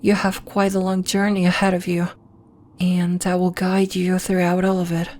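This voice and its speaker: A woman playing a gentle, earnest alien healer from the moon in an ASMR roleplay, speaking in a soft, measured, formal and reassuring way. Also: fairly low pitch, completely flat delivery, natural voice. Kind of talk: ASMR roleplay, scripted character acting.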